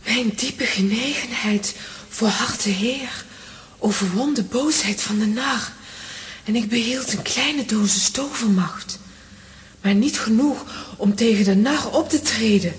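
Lady doing a noble card queen for a kids audio play, high voice, slow n formal. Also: slight background noise, natural voice. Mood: grave, urgent. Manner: formal, stately, archaic diction. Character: noble, devoted Queen of Diamonds playing card. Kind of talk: children's audio play acting.

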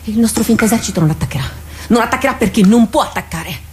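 A woman acting a driven war captain in a radio drama, plotting battle in an intense, deliberate, half-murmured delivery. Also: on the high side, expressive, a little mellow, slight background noise, natural voice. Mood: feverish, resolute.

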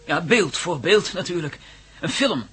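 An actor playing a plain-spoken, easily baffled young television reporter in a radio play, talking informally and hesitantly. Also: male voice, high-pitched, animated, a little slow, fairly bright tone, slight background noise. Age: young adult. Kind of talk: radio drama acting.

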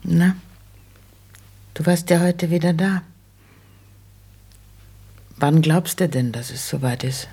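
Husky, creaky nagging old mother. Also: deep voice, takes it slowly, pauses often, warm tone, full character voice. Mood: probing, insistent. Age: older. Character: nagging, money-minded old widowed mother, plain-spoken. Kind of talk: German radio play acting.

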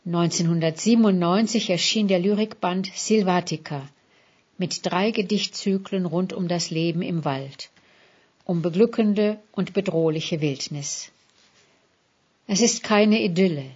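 A woman with a slightly low-pitched voice narrates a literary portrait. She reads from a script in a measured, articulate way, placing deliberate emphasis on key words. The recording sounds a little dull and band-limited. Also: darkish tone, slightly rough.

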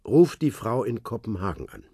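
Older man, old spy contact, lively pitch, mellow husky tone, dry. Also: dry recording, full character voice. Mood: calm, knowing. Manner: measured, knowing, fatherly. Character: old man, seasoned former spy contact, calm and wise. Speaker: informant on the phone. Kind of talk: radio drama acting.

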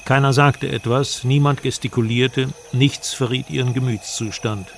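Guy acting as expedition narrator in a radio play, sober and measured, medium pitch, faint night sounds behind. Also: fairly even intonation, vocal fry, slight background noise, close to an everyday voice. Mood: sober, sombre. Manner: measured, descriptive. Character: sober male expedition member narrating his journey. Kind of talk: scripted first-person radio drama narration.

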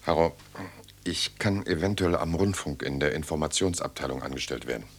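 An earnest writer who secretly resists, the narrating hero of a radio play. His voice is very deep, moving between dialogue and first-person narration. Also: a little fast, slightly rough, heavily acted. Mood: guarded, earnest. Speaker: protagonist and narrator.

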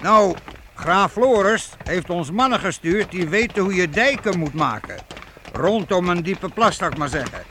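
A man's voice: high-pitched, animated, normal speed, sharp tone. An actor playing a fatherly, hearty medieval guild master in a radio play, with a folksy, jovial manner. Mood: earnest, fatherly. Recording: noisy old radio play recording.

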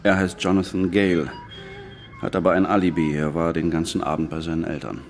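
An actor playing a calm island police detective in a radio drama, speaking in a measured, understated way. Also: male voice, low voice, faint music behind. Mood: measured, reflective. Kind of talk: naturalistic German radio drama dialogue.

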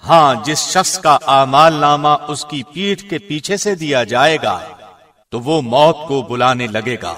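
A man's voice: fairly high pitch, pushed and bright. Solemn man reading scripture, slightly distant. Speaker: translation reader, radio host.

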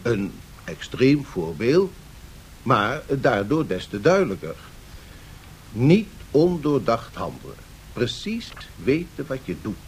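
Lively, didactic male professor. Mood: explanatory, measured. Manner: didactic, deliberate, formal. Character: learned male economics professor, measured and cautionary. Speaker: professor answering questions. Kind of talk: radio play lecture, explanatory dialogue. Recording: 1970s radio recording with faint rumbling noise.

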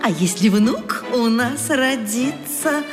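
A woman's voice: fairly high pitch, lively intonation, takes it slowly. An actress playing a proud, doting mother, delivering sung vaudeville couplets in a theatrical style. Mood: proud, joyful. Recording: reverberant stage sound with music.

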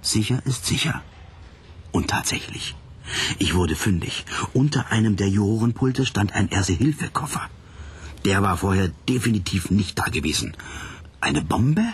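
An actor playing a suspicious, gore-loving detective narrator in a radio play, terse and wry in scripted hard-boiled style. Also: male voice, lowish voice, expressive, moderate pace, breathy edge. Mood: wary, suspicious. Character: sleuthing male narrator, suspicious, fond of gore.

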